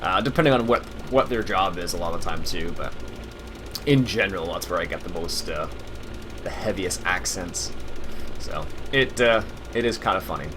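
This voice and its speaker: A man's voice: deep voice, moderate pace. A Canadian man with a Canadian English accent giving casual, rambling commentary while playing a game, full of filler sounds and small chuckles. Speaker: let's play host. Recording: steady loud game engine noise underneath. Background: farm vehicle engine sounds from the game.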